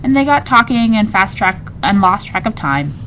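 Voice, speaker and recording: A storybook boy, the sensible older brother, acted out by a woman. He answers in a dry, matter-of-fact way. The recording is slightly dull and rumbly. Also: very animated, moderate pace, natural voice. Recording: muffled recording with rumbling noise.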